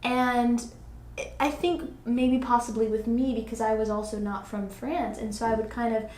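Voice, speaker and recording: A woman's voice: fairly high pitch, steady tone, normal speed, a little mellow. A woman hosting a podcast in casual conversation, thinking aloud earnestly with plenty of filler phrases. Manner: earnest, thinking aloud, frequent "like" and "you know". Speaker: podcast host. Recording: echoey room, faint background noise.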